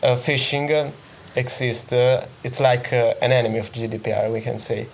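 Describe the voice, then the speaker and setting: A man's voice: mid-range voice, fairly even intonation, normal speed, gravelly, slight background noise. A young man from Italy, a student guest, explaining hesitantly with many fillers. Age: teens or young adult.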